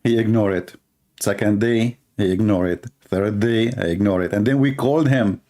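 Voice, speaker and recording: A man's voice: on the low side, normal speed, mellow. A man hosting a live stream, talking in a sarcastic, mocking way and mimicking others in funny voices. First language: Arabic.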